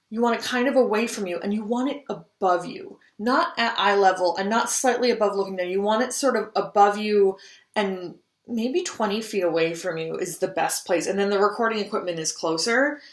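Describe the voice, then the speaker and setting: A woman's voice: medium pitch, brisk. Chatty singer woman in an echoey room. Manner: chatty, many ums and restarts. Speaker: singer giving audition tips. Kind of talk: casual vlog advice. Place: home room, just moved abroad.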